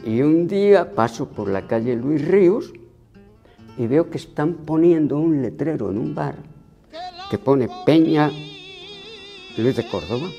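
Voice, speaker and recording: A man's voice: a little high, lively intonation, unhurried, gravelly, long pauses, dark tone, dull audio, faint music behind. A man in his sixties from Andalusia, a flamenco club member and teacher, earnestly speaking in a documentary interview indoors. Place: room indoors.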